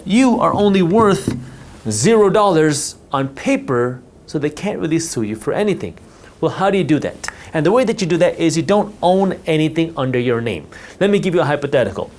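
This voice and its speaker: A man with a somewhat high voice explains wealth protection at a whiteboard. His first language is Punjabi. He talks with emphasis and repeats words to drive points home. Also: a little mellow. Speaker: finance educator.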